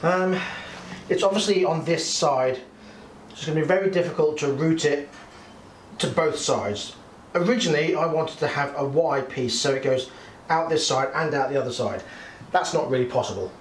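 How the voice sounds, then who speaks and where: A man's voice: on the high side, normal speed. A man in his forties, hosting, explaining a motorcycle build to camera in a casual, chatty way while working outdoors by a shed. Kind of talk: casual workshop chat. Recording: echoey, distant, faint noise.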